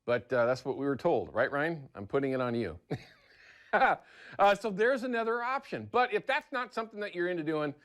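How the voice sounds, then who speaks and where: A man's voice: on the high side, big pitch swings, normal speed, a bit bright. An American man hosting a casual how-to TV segment in a chatty, folksy, conversational way. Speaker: TV show host.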